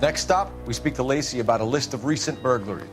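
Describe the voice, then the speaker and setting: An actor playing an earnest, brisk American police detective in a 1940s crime video game, formal and clipped. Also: male voice, mid-range voice, faint music behind, close to an everyday voice. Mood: businesslike.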